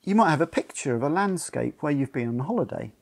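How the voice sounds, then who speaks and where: An older man leads a family church activity from home. His voice is husky and creaky, and his pitch moves in an animated way as he gives simple, clear instructions. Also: fast. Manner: simple, explanatory, addressing families. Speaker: church service leader. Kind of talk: instructional talk to a home congregation. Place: living room at home, indoors.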